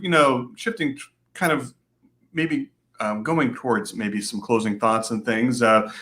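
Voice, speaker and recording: A man's voice: medium pitch, bright, forceful tone. An American man hosting a casual moderated conversation, asking loosely phrased questions that circle before they land. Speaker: interview host, state chapter president.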